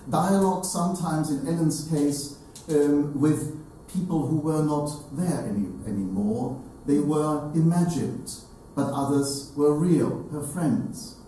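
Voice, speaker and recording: An older man giving a formal introductory talk to an audience, in a halting, erudite manner with deliberate phrasing. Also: fairly high pitch, mellow. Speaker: speaker introducing a literary event. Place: indoor salon hall with seated audience. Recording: echoey room, distant mic, faint noise.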